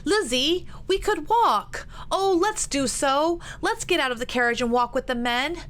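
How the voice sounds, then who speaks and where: A woman's voice: high-pitched, expressive, a little slow, a bit bright, pitched above the performer's own voice. A woman voicing a flighty, petulant teenage girl who exclaims and whines in a period novel. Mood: excited pleading. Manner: exclaiming, whining. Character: flighty, excitable, petulant teenage younger sister. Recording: noticeable background noise.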